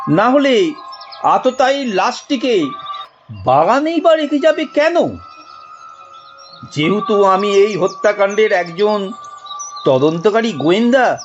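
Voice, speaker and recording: Slow, dramatic man narrating a detective story. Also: high-pitched, lo-fi sound. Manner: suspenseful, theatrical, deliberate phrasing. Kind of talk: dramatic first-person scripted narration.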